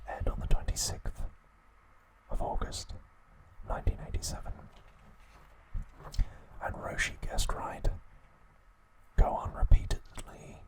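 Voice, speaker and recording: A man narrating an episode recap in an ASMR style, in a breathy, unvoiced whisper with deliberate pauses between short phrases. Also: poor audio.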